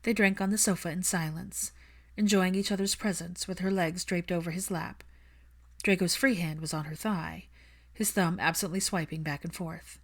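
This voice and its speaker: Woman, lowish voice, scripted fiction narration, audiobook reader. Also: fairly even intonation, normal speed, husky.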